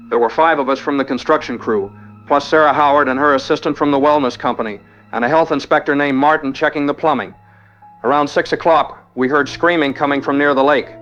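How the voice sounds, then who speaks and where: A man playing a shaken construction foreman who survived a massacre, giving a halting, grave eyewitness account in an audio drama news phone-in. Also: on the high side, fairly bright tone, close to an everyday voice. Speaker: interviewed survivor. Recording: dull lo-fi phone line.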